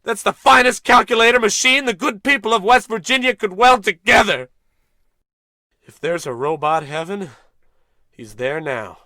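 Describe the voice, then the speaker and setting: A man's voice: sits high, animated, normal speed, pushed and bright, no room sound, clean studio sound, full character voice. A man playing a bumbling, pompous American space captain in a melodramatic old-time radio comedy style. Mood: grieving, indignant.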